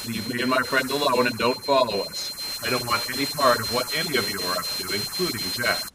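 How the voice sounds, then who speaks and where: A man's voice: mid-range voice, fairly quick, recorded from a distance, natural voice. A blunt, distrustful man , put on by the narrator who speaks firmly and curtly. He sounds set back from the microphone, with heavy hiss and noise around him. His talk is clipped, with no give in it. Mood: hostile. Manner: firm and curt.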